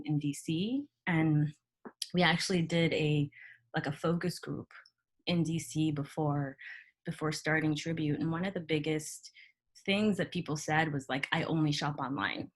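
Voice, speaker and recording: A woman who grew up in Ghana, a retail co-founder, speaking thoughtfully in a panel chat with frequent restarts. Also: sits low. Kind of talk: panel discussion on a video call.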